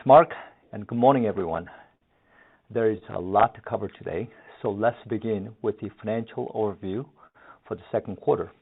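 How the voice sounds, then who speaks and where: A man's voice: on the low side, gravelly, breathy edge. A man working as a finance executive, reading a scripted financial presentation on an earnings call over a narrow phone line.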